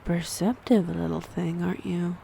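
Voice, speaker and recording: A woman playing a snide, predatory vampire in an audio roleplay, mocking and teasing, with a breathy voice that slips into whispers. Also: lowish voice, steady tone, normal speed, mellow, a little hiss or hum. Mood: scornful, mocking. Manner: mocking, teasing, theatrically menacing. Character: snide, predatory female vampire toying with prey. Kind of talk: audio roleplay acting addressed to the listener.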